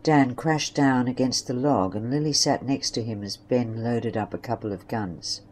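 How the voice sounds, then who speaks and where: Mellow, low-voiced woman narrator. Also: moderate pace, a little hiss or hum. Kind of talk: scripted story narration.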